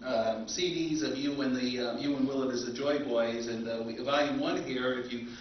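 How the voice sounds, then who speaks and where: American guy hosting a convention panel, halting and casual, big room echo, some hiss. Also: medium pitch, steady tone, recorded from a distance. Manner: halting, stammering. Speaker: panel moderator. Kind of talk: casual live event hosting. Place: convention presentation hall, indoors. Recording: muffled, noisy, echoey convention hall from afar.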